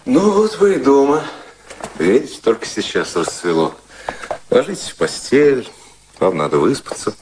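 An actor playing a cynical, cool-headed gentleman in a radio drama, ironic and commanding in manner. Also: medium pitch, big pitch swings, normal speed. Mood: brisk, commanding. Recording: radio play with faint background noise.

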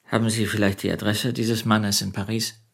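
A man's voice: on the low side, little pitch movement, moderate pace, creaky, fairly bright tone, husky, exaggerated voice. A man playing a lonely, wronged, polite bookseller in a radio drama, subdued in manner. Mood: subdued, questioning. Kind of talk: radio drama dialogue and inner monologue.

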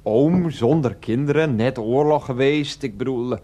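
An actor in a Dutch radio play playing an evasive, patronising boyfriend with a halting, placating way of talking. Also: male voice, medium pitch, dark tone, a little hiss or hum, lightly acted. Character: evasive, patronising adult boyfriend explaining himself.

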